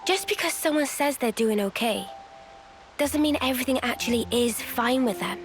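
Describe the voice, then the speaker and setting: A woman's voice: fairly high pitch, moderate pace, faint music behind, close to an everyday voice. A woman playing a caring, earnest young comrade in a video game cutscene. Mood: concerned.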